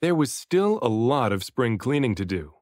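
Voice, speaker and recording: A man narrates a picture book for young children with expressive emphasis. His medium-pitched voice rises and falls in a lively, animated way. The sound is dry and close, with very little room. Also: normal speed, no echo. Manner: expressive, varies delivery for emphasis. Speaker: children's book reader, dad and voice actor. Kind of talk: read-aloud storybook narration.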